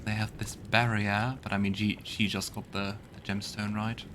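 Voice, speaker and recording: A man's voice: fairly low pitch, little pitch movement, moderate pace, noisy background. A man hosting a let's play, giving casual gaming commentary and thinking aloud in a conversational way. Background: loud game music.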